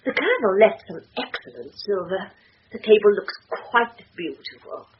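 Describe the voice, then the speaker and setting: An actress with an English accent playing an emotional, genteel and anxious wife in an old-time radio drama. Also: female voice, medium pitch, very animated, normal speed, noisy background, natural voice. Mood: fond, eager. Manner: emotional, theatrical. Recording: muffled old radio recording.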